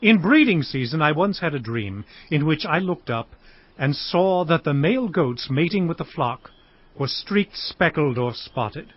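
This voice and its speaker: Casting: high-pitched, hardworking herdsman for scripture drama, earnest and aggrieved, slightly dull sound. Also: expressive, measured pace, no echo. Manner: earnest, declamatory. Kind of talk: dramatized audiobook acting. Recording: dull studio recording with music.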